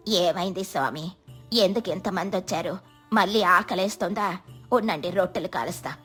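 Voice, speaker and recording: A woman's voice: fairly low pitch, normal speed, fairly bright tone, faint music behind, natural voice. A woman voicing a kindly, scolding old village healer in a moral story, motherly and pleading. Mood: puzzled, hurt. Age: older.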